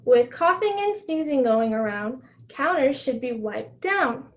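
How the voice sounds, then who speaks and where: Caring storybook mommy played by a woman, patient, instructive, high-pitched. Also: big pitch swings, a little slow. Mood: patient instructing. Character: caring, patient mommy teaching her daughter hygiene, storybook.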